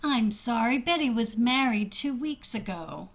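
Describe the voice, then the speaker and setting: A woman's voice: a little high, lively intonation, measured pace. A woman acting in a 1940s-style radio drama as a flustered, lovestruck schoolteacher in her mid-thirties, hesitant and fretful, on a slightly muffled recording. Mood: apologetic, nervous. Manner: hesitant, fretful, breathlessly apologetic.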